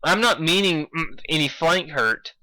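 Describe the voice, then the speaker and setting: A cheeky boy dragon read by a man argues with sarcasm in a cartoon story. The voice is a little high and sharply bright. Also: lively intonation, a little slow, no room sound, rough recording. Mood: protesting. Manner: sarcastic, argumentative. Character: cheeky protesting young male baby dragon.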